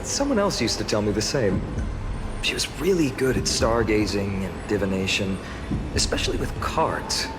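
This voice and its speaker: An actor playing a friendly, teasing young warrior man in an anime-style video game, wistful and skeptical, in a conversational, expressive way. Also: fairly low pitch, normal speed, over music, close to an everyday voice. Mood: musing.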